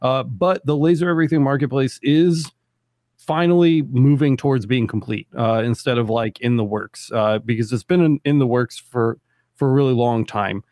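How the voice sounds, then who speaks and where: A man in his thirties hosting a casual livestream, talking in a rambling, relaxed way full of fillers. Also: mid-range voice, normal speed, dry recording, studio quality. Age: 30s. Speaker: livestream host.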